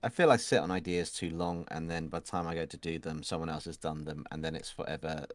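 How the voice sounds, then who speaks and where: A man with a low, deep voice chats casually while drawing on a stream. He laughs often and trails off mid-sentence. The sound is dry and close, with no room echo. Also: fairly even intonation, fast. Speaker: art streamer.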